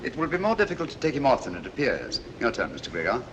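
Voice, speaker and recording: An actor playing a shrewd, composed detective in a 1940s film, speaking with a British accent in a measured, courteous way. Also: male voice, medium pitch, wildly swooping pitch. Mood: cool, guarded. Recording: noisy old 1940s film soundtrack.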